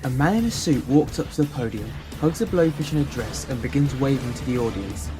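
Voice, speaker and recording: A man's voice: mid-range voice, lively intonation, fairly quick, mellow, faint music behind, lightly acted, poor audio. A man giving scripted narration for a fan audio drama.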